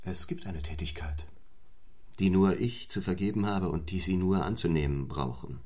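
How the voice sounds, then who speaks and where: Deep-voiced, stern young clergyman. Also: a little mellow. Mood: earnest, intense. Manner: measured, formal, probing, commanding. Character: stern, ambitious young English clergyman, restless and unyielding. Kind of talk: German radio play acting.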